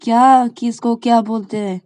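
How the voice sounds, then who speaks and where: A woman's voice: medium pitch, unhurried, mellow, no room sound, natural voice. A woman voicing an amused, confident young woman in an audio story, teasing and mock-indignant in her questions.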